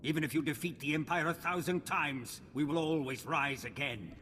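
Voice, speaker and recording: A haughty Sith lord threatens in a slightly high, cold voice in a video game. The sound rings with a long hall reverb and a faint hiss. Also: male voice, moderate pace, pushed and bright. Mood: menacing. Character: arrogant male Sith lord, defiant and vengeful.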